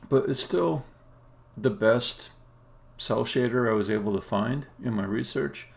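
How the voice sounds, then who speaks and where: A man live-streaming game development, thinking aloud in a casual, halting way as he works, often muttering to himself. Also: medium pitch, gravelly. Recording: faint noise, keyboard typing and clicks. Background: computer keyboard typing and mouse clicks.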